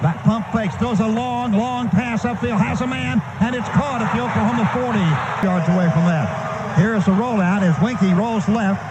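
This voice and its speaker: A man with a high-set voice calls a football game live on the radio. He describes the action in short, clipped phrases as it happens. The broadcast has a clearly noisy stadium sound. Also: normal speed. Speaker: radio play-by-play announcer.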